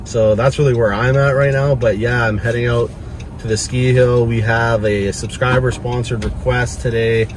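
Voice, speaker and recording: A man's voice: mid-range voice, fairly even intonation, moderate pace. A man chatting casually to his viewers in a rambling, slangy, laid-back hype style while driving in a truck. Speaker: vlogger. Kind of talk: casual vlog chat to camera. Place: inside a truck being driven. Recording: loud vehicle and air-conditioning noise. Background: truck engine and road noise.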